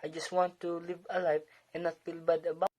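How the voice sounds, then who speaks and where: A man's voice: on the high side, little pitch movement, brisk, darkish tone, highs cut off, close to an everyday voice. A man playing a weary, discontented husband in an amateur one-act play, frustrated and resigned. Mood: weary, apologetic.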